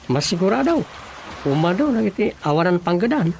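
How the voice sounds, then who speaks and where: A performer playing a calm, patient adult child advising a worried father, with an Ilocano Filipino accent, in a radio drama comedy. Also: medium pitch, a little fast, vocal fry, very noisy. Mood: concerned.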